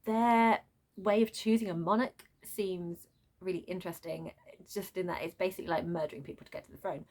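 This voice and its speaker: A woman's voice: lowish voice, steady tone, brisk, a little mellow. Woman in an exasperated, casual book rant. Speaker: book reviewer. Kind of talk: unscripted book rant, casual vlog chat.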